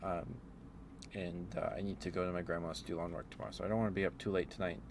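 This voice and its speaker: A man livestreamer chatting casually in an ASMR stream, rambling in a hesitant, unhurried way. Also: sits low, little pitch movement, noisy background.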